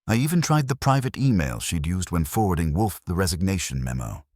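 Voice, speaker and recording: A man's voice: sits low, sing-song, a little fast, darkish tone, no echo, studio quality. A man narrating a scripted first-person detective story in terse, clipped noir sentences. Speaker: audiobook narrator.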